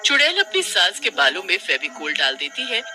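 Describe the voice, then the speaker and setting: A man's voice: high voice, huge pitch swings, moderate pace. A man narrating a scripted cartoon horror story as a storyteller. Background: music.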